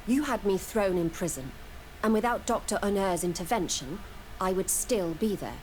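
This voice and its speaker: A woman's voice: medium pitch, animated, normal speed, a little mellow, slight background noise, close to an everyday voice, rough recording. Woman acting guarded, serious female scientist. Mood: grave. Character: guilt-troubled female scientist, guarded but dutiful. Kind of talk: video game dialogue acting.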